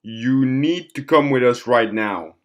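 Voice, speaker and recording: A blunt lawman, acted out by a male narrator in an audio drama. He is loud, forceful and commanding, a hostile officer out to make an arrest. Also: medium pitch, takes it slowly, lightly acted. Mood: insistent.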